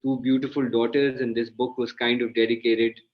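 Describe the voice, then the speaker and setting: A man's voice: medium pitch, little pitch movement, normal speed, lo-fi sound. A man from Pakistan, a lawyer and author, answering interview questions at length in an articulate, explaining way.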